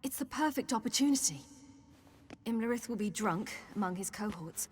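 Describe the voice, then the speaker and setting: A woman's voice: medium pitch, lively intonation, moderate pace, long reverb tail, slight background noise, natural voice. A woman voicing a young, restless swordswoman in a video game, speaking earnestly and urgently. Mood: urgent, resolute. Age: young adult. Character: determined, restless young swordswoman and fledgling mage. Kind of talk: video game cutscene acting.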